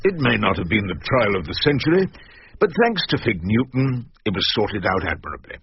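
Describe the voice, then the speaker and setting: A man's voice: fairly low pitch, very animated, brisk, gravelly, dull audio, a little hiss or hum. An actor playing a wry old barrister, narrating his memoirs in a droll storytelling style for radio drama.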